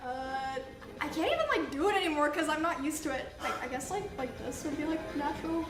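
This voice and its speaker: A young woman streamer in casual chat, her voice gravelly and strained from forcing it to project, talking in a loose, filler-heavy way. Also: high-pitched, animated, brisk. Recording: echoey room with music.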